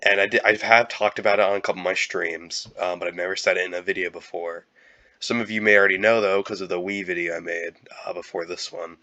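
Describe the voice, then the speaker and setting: A young man with a cold, talking in a halting, casual way with many fillers and restarts. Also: deep voice, fairly even intonation, sharp tone, lo-fi sound. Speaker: YouTuber. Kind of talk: casual year-end vlog.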